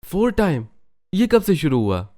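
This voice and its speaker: A man's voice: on the high side, wildly swooping pitch, measured pace, dark tone. A man playing a concerned, exasperated young husband and son in a family story cartoon. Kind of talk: Hindi family moral-story cartoon acting.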